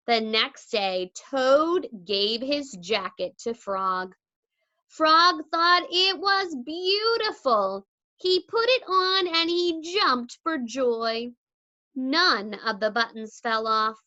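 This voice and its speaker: A woman teacher reads a children's story very slowly and deliberately. Her voice is bright and swings widely in pitch. The recording is slightly dull and lo-fi. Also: animated. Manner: deliberate articulation, asks listeners questions. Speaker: teacher reading aloud to young children. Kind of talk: expressive storybook read-aloud.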